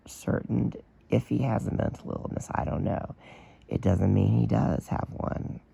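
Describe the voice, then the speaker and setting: A man with a hoarse, damaged voice giving blunt, opinionated commentary in a casual video. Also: lowish voice, mellow, rough recording. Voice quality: damaged, strained voice. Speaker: vlogger.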